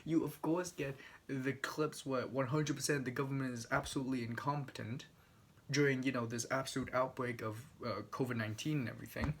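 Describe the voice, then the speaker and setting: A young man rambling casually, swearing often and stumbling over restarts. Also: fairly high pitch.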